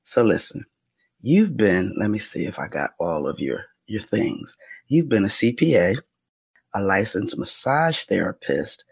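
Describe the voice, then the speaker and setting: A woman hosting a podcast, talking in a casual interview chat with a low, raspy voice that gets mistaken for a man's on the phone. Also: very animated, measured pace, a little mellow, dry recording. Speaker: podcast host.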